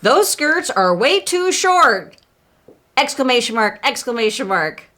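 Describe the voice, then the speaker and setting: A woman's voice: fairly high pitch, very animated, measured pace, bright, forceful tone. A woman in her sixties chatting casually and laughing as she reads comments aloud. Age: 60s. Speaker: guest co-host. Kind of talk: casual chat.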